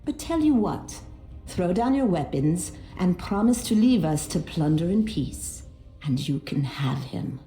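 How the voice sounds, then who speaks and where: A woman's voice: lowish voice, animated, unhurried. A woman playing a mocking, smug treasure-hunter villain in a fantasy game, with a taunting delivery. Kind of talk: scripted fantasy game dialogue. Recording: echoey, faint music behind.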